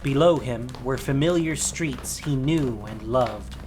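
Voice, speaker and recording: A man's voice: medium pitch, unhurried, a little mellow, a little hiss or hum. A man reading a scripted, dramatic story as its narrator. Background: music.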